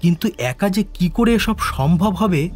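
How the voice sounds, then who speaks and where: A man's voice: sits high, moderate pace, lightly acted. A man acting an obsessed, secretive scientist in a Bengali audio drama, confessional and emphatic. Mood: confiding, fervent. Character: obsessed male scientist, intense, secretive, driven to create life. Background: background music.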